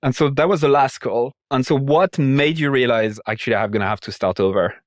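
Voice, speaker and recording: A man's voice: mid-range voice, a little fast, no room sound, clean studio sound. A man hosting a casual, probing interview in a blunt, informal way, swearing freely. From Ireland or living there. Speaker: interviewer, host and marketing consultant.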